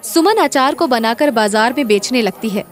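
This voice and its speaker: A woman's voice: a little high, animated, normal speed. A woman narrating a scripted moral story as a storyteller.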